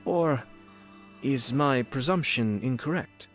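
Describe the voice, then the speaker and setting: A man voicing a courteous young nobleman in an anime-style video game, formal, measured and politely teasing. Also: mid-range voice, fairly bright tone. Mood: composed, polite. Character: courteous, composed young nobleman, head of a clan. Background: game music.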